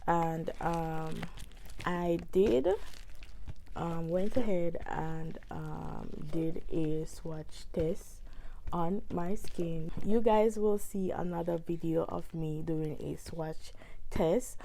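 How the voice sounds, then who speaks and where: A young woman with a hoarse, stuffy voice from a cold, unboxing makeup in a rambling vlog with many ums and restarts. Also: on the low side, very slow, dark tone, slight background noise, low-quality recording. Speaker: beauty vlogger.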